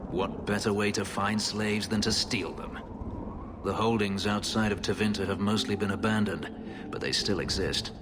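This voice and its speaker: An actor playing a brooding male elf, an escaped slave and warrior, speaking in a grave, measured, bitter way. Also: lowish voice, background noise, natural voice. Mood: grim. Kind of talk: video game voice acting. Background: game music score.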